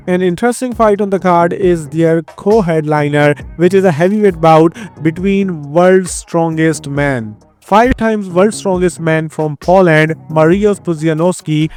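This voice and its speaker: A man's voice: high-pitched, a little mellow, clean tone. A man with an Indian accent hosting an upbeat, scripted rundown of fight news. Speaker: host. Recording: faint background music.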